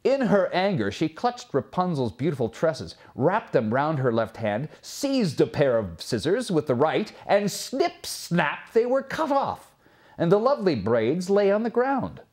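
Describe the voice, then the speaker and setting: A man reading a fairy tale aloud as a storyteller, expressive and theatrical, with measured phrasing. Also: on the high side.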